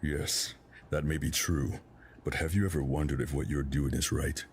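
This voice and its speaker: A middle-aged senior crime figure with an extremely deep voice. He is measured, restrained and conscientious, reasoning as in a screen drama. Clear noise sits behind him. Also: fairly even intonation. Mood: concerned. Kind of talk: dramatic film-style acting. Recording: clearly noisy background ambience.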